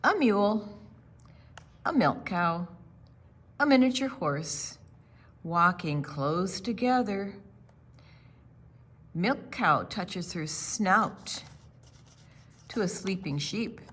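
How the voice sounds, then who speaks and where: Slow, expressive woman reading aloud to children. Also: mid-range voice, husky. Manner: slow, deliberate phrasing with questions and asides to the listeners. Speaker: library storytime reader. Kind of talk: expressive read-aloud narration for young children.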